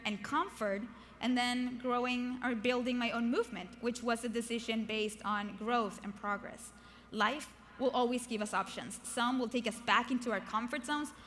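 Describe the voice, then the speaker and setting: Young woman, non-native English speaker, bright and fairly high voice, lively keynote talk, arena stage. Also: slight background noise. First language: not English. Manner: lively, chatty, asks the audience questions. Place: large convention arena stage.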